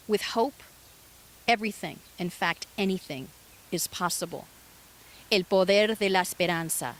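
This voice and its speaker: A middle-aged woman from Argentina speaks with a bright, clear voice in a recorded address. She sounds earnest and proud and slows down on her key phrases. Faint background noise sits under her voice. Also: mid-range voice. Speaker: parade association president.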